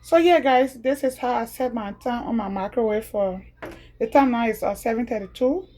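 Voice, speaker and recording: Liberian woman, home video creator, somewhat high voice, casual and repetitive step-by-step delivery. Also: darkish tone, slight background noise. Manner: chatty, repeats steps slowly.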